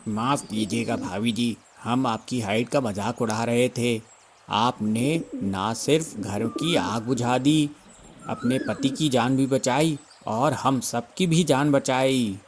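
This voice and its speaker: A man voicing chatty male bird townsfolk in a cartoon, expressive and colloquial. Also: medium pitch, slow pace, a little hiss or hum, lightly acted. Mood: apologetic.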